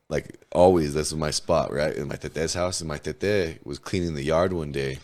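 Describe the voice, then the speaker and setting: Very deep-voiced hoarse young man. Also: a little slow, darkish tone. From New Mexico. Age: 20s. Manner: laid-back, profane, storytelling, heavy slang. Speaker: podcast guest. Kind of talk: casual candid interview chat.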